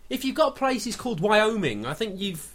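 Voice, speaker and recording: A man's voice: high voice, big pitch swings, moderate pace. An English man co-hosting a comedy podcast, chatting casually, rambling and tripping over his words with a giggle. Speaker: podcast co-host.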